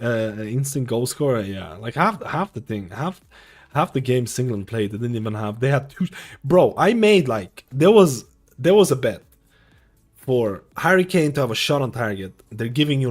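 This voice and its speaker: Lithuanian-born guy in his 30s streaming, rambly chat, lots of likes and restarts, mid pitch, faint music behind. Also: expressive, normal speed. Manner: rambling, repeats himself, many fillers. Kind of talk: casual live-stream chat. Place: home streaming room.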